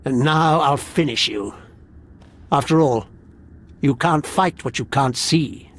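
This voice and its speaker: An actor playing a smug, mocking villain's apprentice in a video game, taunting theatrically. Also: male voice, mid-range voice, takes it slowly, slight background noise, lightly acted.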